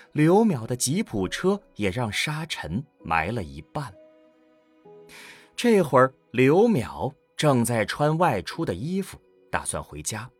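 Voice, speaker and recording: A man narrating a scripted science fiction audio drama. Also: medium pitch.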